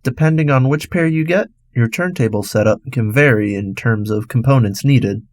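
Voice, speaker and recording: A man's voice: mid-range voice, moderate pace, no room sound. Male radio host, dry close sound. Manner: instructional, explains step by step. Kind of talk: scripted explanatory narration.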